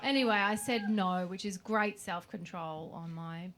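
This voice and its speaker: A woman's voice: medium pitch, measured pace. A woman in her forties with an Australian accent doing a brash, sweary, self-mocking stand-up comedy set on a club stage. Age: 40s. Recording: live club audio, audience noise. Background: audience laughter.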